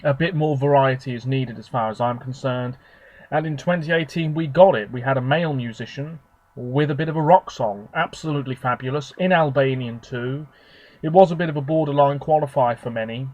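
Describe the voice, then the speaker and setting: A man with a slightly hoarse, husky voice from a sore throat, chatting on his own channel. He talks in a rambling, relaxed way, giving his opinions one after another at a middle pitch. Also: fairly quick, muffled recording. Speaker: video host. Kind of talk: casual solo commentary.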